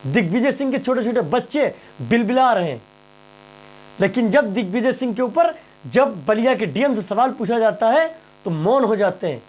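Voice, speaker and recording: A man's voice: very high-pitched, animated, normal speed, darkish tone. A man presenting impassioned news commentary straight to viewers, emphatic and rhetorical, repeating phrases and questions.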